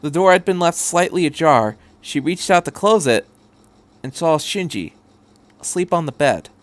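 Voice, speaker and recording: A man's voice: fairly high pitch, expressive, moderate pace. A man reading a story aloud as a podcast narrator, in scripted third-person prose.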